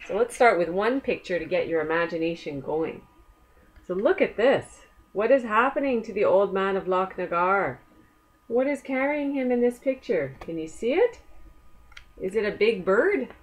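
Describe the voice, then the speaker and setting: A woman reading a children's book aloud as a storyteller, gently inviting young listeners along with questions. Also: mid-range voice, expressive, moderate pace, low-quality recording.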